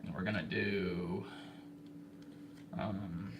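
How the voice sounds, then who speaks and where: Gravelly American man on a noisy livestream. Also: deep voice, steady tone. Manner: halting, thinking aloud while busy. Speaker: livestreamer spotting severe weather. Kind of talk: casual livestream commentary while logging warnings. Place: indoor room with computer and radio equipment. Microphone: phone set in a fixed spot. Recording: noisy amateur livestream with room hiss.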